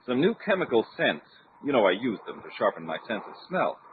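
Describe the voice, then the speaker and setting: An actor playing a calm, commanding adventurer hero, measured and unruffled, in the style of an old-time radio serial. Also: male voice, medium pitch, natural voice. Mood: composed. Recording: tinny narrow-band radio recording with hiss.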